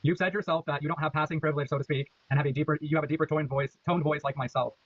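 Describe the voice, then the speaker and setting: A man's voice: on the high side, fairly even intonation, rapid-fire, no room sound, highs cut off. A man asking an interviewer's pointed, direct question.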